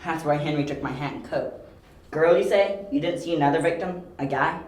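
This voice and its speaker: A man's voice: a little high, a little fast, recorded from a distance, natural voice. A man acting a resourceful, wry young detective in an amateur audio drama, speaking tersely. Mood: puzzled, urgent. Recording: echoey room, off-mic, faint noise.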